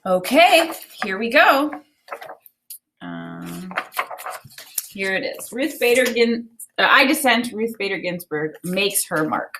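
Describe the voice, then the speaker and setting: A woman teacher reading a children's book aloud, with expressive, deliberate phrasing and explanatory asides. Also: mid-range voice, gravelly, a little hiss or hum. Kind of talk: read-aloud of a children's book with think-aloud commentary.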